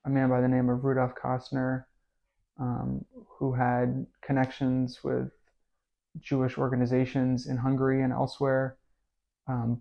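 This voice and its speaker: A man talking about his grandmother's past in a documentary interview, measured and explaining, with frequent ums. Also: medium pitch, creaky, lo-fi sound.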